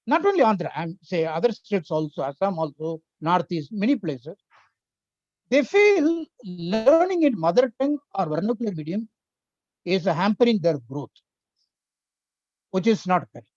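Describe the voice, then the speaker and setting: Older Tamil-speaking lecturer, lively pitch. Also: moderate pace, no room sound. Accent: Indian. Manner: earnest, explanatory, lists points one by one. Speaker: invited speaker on education policy. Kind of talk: webinar lecture. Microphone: video call. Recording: dry, slightly muffled webinar audio.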